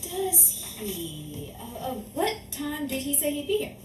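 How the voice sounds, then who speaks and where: A woman's voice: sits high, wildly swooping pitch, a little slow, soft background music, heavily acted. A woman acting a touchy, coy, meddling housewife in a 1950s-style radio sitcom, theatrically indignant and wheedling. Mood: coy, scheming. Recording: roomy, distant, noisy home recording.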